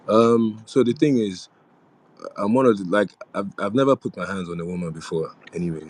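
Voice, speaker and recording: A young man, a former reality show housemate, chatting casually with fans in a live audio room, rambling with fillers. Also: low voice. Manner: relaxed, rambling, with frequent fillers and restarts.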